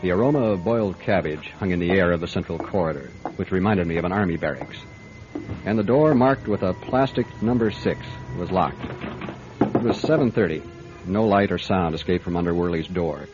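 A hard-boiled private detective acted by a man speaks in a low voice with clipped, businesslike wryness. It is noir radio drama, slightly muffled, with faint music behind. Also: fairly quick, natural voice. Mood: wry, brooding. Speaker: lead actor and narrator. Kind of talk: radio detective drama with first-person narration.

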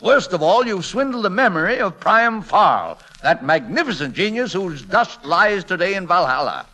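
Stubborn male painter, classic radio drama, proud, theatrical, sharp bright tone, very animated. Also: high-pitched, moderate pace, exaggerated voice. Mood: indignant. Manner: indignant, theatrical, formal. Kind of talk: old-time radio drama acting.

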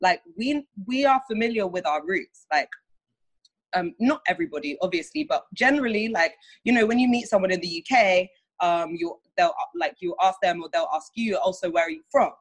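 A woman's voice: mid-range voice, animated, a little fast, slightly rough, low-quality recording. A young British woman talking in a casual conversation, chatty and full of fillers. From United Kingdom.

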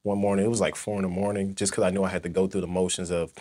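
Deep-voiced young man, easygoing and rambling. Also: racing. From St. Louis, Missouri. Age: young adult. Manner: relaxed, rambling, many fillers like "you know". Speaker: guest, former football player. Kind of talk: casual interview conversation.

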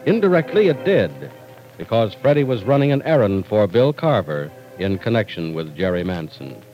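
A man's voice: on the low side, big pitch swings, moderate pace, mellow, soft background music, poor audio. A man narrating an old-time radio serial as announcer, in a dramatic scripted style.

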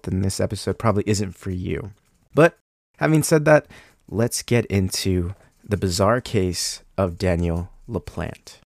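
Young male true crime podcast host, under the weather, casual and halting, lowish voice, dry close mic. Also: darkish tone, dry recording. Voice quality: deeper than usual from illness. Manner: casual, with ums and likes. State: sick with a cold.

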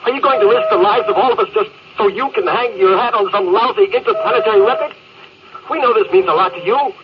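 A man's voice: sits high, fast talker, natural voice. An actor playing an earnest, anxious spaceship crewman, urgent and pleading in an old radio-drama style. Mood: urgent protest. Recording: muffled, very noisy old radio recording.

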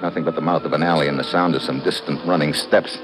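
A man's voice: very deep voice, steady tone, fast talker, over music. An actor playing a wry, hard-boiled American investigator who also narrates his case in an old radio drama. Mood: tense narration. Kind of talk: old-time radio detective drama, first-person narration.

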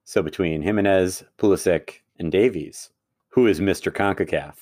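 A man's voice: low-pitched, normal speed, darkish tone, dry recording, clean studio sound. Teasing man co-hosting a podcast. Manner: teasing, joking banter. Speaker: podcast co-host. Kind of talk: casual podcast chat.

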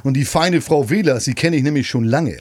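A man playing a rough, folksy pub landlord in a comic radio play, rambling and boastful, with a husky voice. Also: medium pitch, fairly quick, no room sound, lightly acted. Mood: confiding, proud. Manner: folksy, rambling, tags sentences with "ne".

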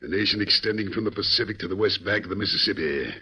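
An actor playing a cold, commanding male gang leader in an old radio drama, with a husky voice. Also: fairly low pitch, fast, pushed and bright, heavily acted. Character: scheming male gang leader plotting a new nation. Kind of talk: 1940s radio acting. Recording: dull old radio recording.

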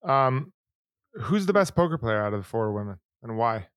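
A man co-hosting a podcast in casual chat, jokey and quick with asides. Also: mid-range voice, very animated, a bit bright, no room sound, clean studio sound. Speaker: podcast co-host.

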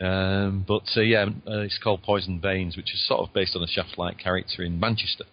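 A man in his sixties with a Lancashire accent answers interview questions, his very deep voice modest and unhurried. He hesitates often with small fillers, and the recording sounds dull and lo-fi. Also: level tone, slight background noise. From Lancashire, England. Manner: modest, chatty, hesitant with "uh" and "I mean". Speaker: guest author.

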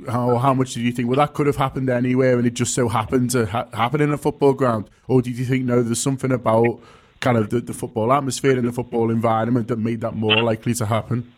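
Young host, talks fast, lots of "sort of" and "kind of", careful questions. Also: male voice, mid-range voice, level tone, slight background noise. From Liverpool, England. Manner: thoughtful, hedging with "sort of" and "kind of". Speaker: podcast host. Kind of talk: interview questions.

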